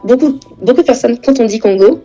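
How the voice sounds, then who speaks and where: A woman hosts a relaxed interview with a mellow, warm voice. She talks in a lively, encouraging way and often turns to address the viewers. Faint music plays quietly under the conversation. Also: a little high, fairly quick, highs cut off. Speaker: interview host. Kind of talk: casual interview chat.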